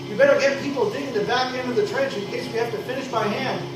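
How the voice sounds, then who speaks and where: A man's voice: very high voice, fast talker. A man playing an earnest young townsman. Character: practical young townsman with a backhoe. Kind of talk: live radio play acting. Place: convention hall. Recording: very echoey hall, from far off, noisy.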